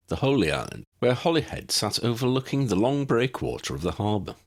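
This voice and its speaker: Low-pitched man narrating a story, slightly husky. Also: a bit bright. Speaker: audiobook narrator and author.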